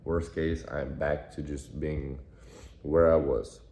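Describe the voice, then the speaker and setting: Deep-voiced young man vlogging in an echoey room. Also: little pitch movement, warm tone. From United States. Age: 20s. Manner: reflective, halting, repeats phrases. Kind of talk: casual vlog monologue. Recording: echoey room with faint noise.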